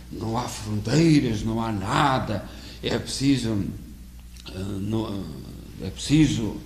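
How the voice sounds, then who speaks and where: A man from Portugal with a European Portuguese accent, preaching an earnest sermon in a halting way, often repeating words as he searches for the next one. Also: mid-range voice. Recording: echoey room with faint hum.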